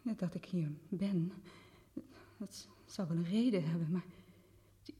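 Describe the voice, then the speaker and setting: A woman's voice: fairly low pitch, measured pace, dark tone, natural voice. A woman playing a frightened amnesiac woman. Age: late 20s. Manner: breathless, whispery, bewildered. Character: frightened young woman who lost her memory. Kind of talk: 1950s radio drama acting.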